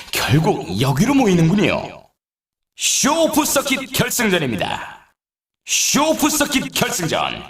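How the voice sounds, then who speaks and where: High-pitched, excitable race announcer. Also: male voice, heavily acted. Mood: emphatic, announcing. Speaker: sports game announcer. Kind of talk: scripted race commentary.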